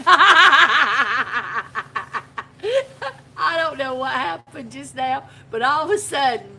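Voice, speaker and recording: A woman's voice: high voice, lively intonation, takes it slowly, pushed and bright, slightly rough. A woman, hosting a casual live video from her own shop and telling a rambling story with frequent laughter. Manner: rambling, chatty storyteller who laughs often. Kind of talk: casual live-stream chat. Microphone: phone live video.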